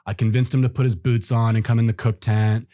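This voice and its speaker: A man's voice: medium pitch, little pitch movement, brisk, mellow, no echo, studio quality. A man telling a story as a podcast guest, easygoing and rambling, with lots of fillers and restarts. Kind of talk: casual storytelling in an interview.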